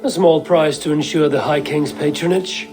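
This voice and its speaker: An actor playing a stern, confident, dry-witted Norse warrior in a video game. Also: male voice, a little high, steady tone, normal speed, close to an everyday voice. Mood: dry, assured. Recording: music under dialogue.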